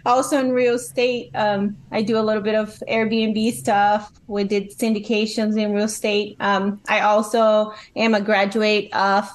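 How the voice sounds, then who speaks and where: A woman with a Brazilian accent telling her story casually as a podcast guest, chatty and quick to laugh. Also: medium pitch, little pitch movement. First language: Portuguese. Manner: chatty, laughs often while talking.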